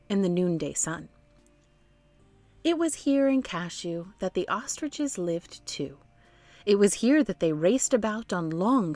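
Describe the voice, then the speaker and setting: A woman's voice: medium pitch, darkish tone, dry recording. A woman hosting a children's read-along, narrating expressively and speaking directly to young listeners. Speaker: storytime host and narrator.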